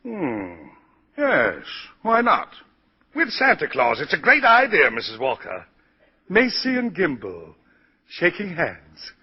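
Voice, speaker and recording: An actor playing a brisk, blustery department store boss in a 1940s radio drama, emphatic and businesslike. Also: male voice, on the high side, huge pitch swings, crowd noise, heavily acted. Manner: emphatic, businesslike, echoing words in surprise. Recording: muffled 1940s radio broadcast.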